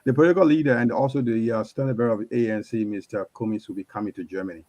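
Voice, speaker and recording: Medium-pitched male interview host, halting phrasing. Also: darkish tone. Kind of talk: hosting a political online interview.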